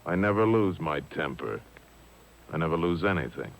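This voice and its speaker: An actor playing a cold, menacing racketeer with a curt, sardonic manner in a 1950s radio mystery. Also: male voice, rumbling low, lively intonation, moderate pace. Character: cold, menacing, terse racketeer returning home. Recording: old radio broadcast tape with faint noise.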